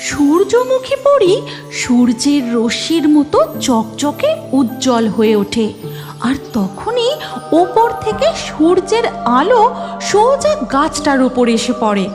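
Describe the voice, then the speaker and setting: Female narrator, children's story, high pitch, animated delivery, background music. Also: slow.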